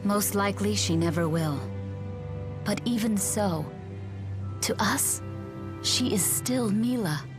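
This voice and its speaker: A dignified female spirit acted by a woman in a fantasy game, with a mid-pitched voice. She is solemn and loyal, speaking in formal, measured phrases over clear background music. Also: close to an everyday voice. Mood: resolute. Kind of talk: dramatic video game voice acting.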